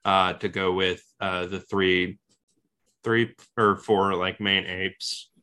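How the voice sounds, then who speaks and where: A man's voice: low voice, level tone, slow, bright, forceful tone. A man hosting a casual film review podcast, upbeat and jokey as he steers the talk between segments. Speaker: podcast host.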